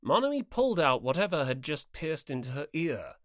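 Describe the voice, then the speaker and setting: Female audiobook narrator, highly animated intonation, dry close sound, scripted storytelling. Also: fairly quick, no echo.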